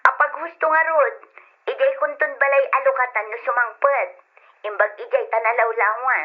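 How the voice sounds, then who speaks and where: An actress playing an overseas worker's wife and dutiful daughter in an old-style radio drama, speaking with an Ilocano accent. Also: female voice, a little high, fairly even intonation, normal speed, lightly acted. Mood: attentive. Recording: dull lo-fi radio sound.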